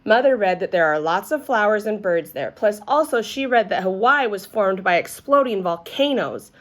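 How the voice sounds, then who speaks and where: Woman acting an excitable, boastful little schoolgirl. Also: mid-range voice, normal speed, natural voice. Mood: eager. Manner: emphatic, childlike phrasing. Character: excitable, boastful little girl, chatty first-grader. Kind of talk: children's storybook read-aloud.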